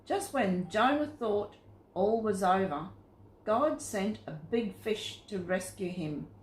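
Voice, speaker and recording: A woman reading scripted Bible stories to children in a simple, expressive storytelling manner. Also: medium pitch, takes it slowly. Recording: roomy, echoing sound.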